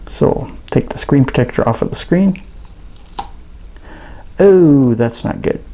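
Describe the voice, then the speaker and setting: A man giving a casual product review in a halting, understated way. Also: mid-range voice, animated, mellow, low-quality recording. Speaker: radio reviewer. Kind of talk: casual unboxing review narration.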